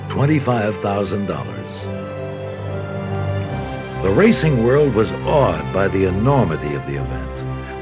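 An American man narrating a documentary from a script in a measured, dramatic storytelling manner. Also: lowish voice.

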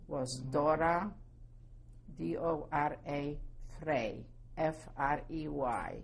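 A woman in her seventies from Poland, giving oral history testimony slowly and carefully, spelling out names. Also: low-pitched, creaky, a little hiss or hum. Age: 70s. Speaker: interviewee.

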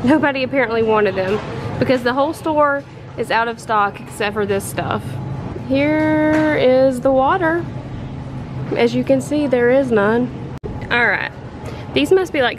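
Woman in her thirties, chatty haul vlogger, fairly high voice, faint background noise. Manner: chatty, excited, talks to viewers as y'all. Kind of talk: casual haul vlog chat. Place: home kitchen and store aisles.

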